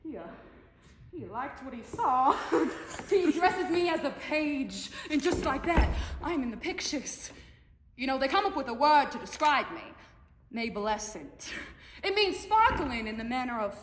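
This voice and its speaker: Woman acting brash sparkling 1910s film starlet, high voice, theatrical, stammering monologue, echoey noisy hall. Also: big pitch swings, measured pace. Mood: playful, excited. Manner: showy, theatrical, conversational with giggles and stammers. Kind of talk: dramatic monologue performance.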